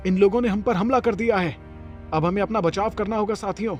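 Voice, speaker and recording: A man's voice: high-pitched, fairly quick, soft background music, natural voice. A man voicing a young heroic leader in a cartoon story, earnest and theatrical. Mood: urgent, commanding.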